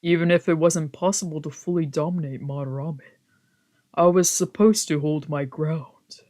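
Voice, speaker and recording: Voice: medium pitch, fairly even intonation, moderate pace, darkish tone. A streamer casually narrating and commenting on a visual novel, swearing and reacting freely between lines read aloud. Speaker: streamer doing a let's play.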